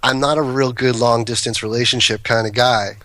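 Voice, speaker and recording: Bright-toned man telling rambling stories. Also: medium pitch, fairly even intonation, fairly quick, dry recording. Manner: rambling, self-interrupting anecdotes. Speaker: guest, cannabis breeder. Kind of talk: casual storytelling chat.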